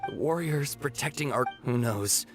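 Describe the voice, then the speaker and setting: Hesitant fantasy heroine, low female voice, concerned, game dialogue, very loud music. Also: moderate pace. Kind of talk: video game character acting.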